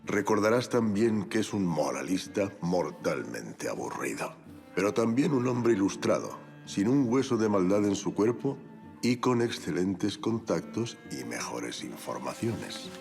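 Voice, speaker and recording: A worldly, affectionate aristocratic father. He delivers a letter formally and wryly for a period audio drama. Music plays clearly beneath him. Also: lowish voice, sing-song, a little mellow, exaggerated voice. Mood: fond, wry. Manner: formal, measured, dryly wry.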